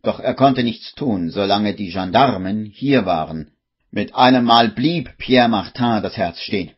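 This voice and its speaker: A man's voice: lowish voice, unhurried, husky. A man narrating a 1950s radio play as a suspenseful, theatrical storyteller. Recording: muffled vintage radio recording, music behind.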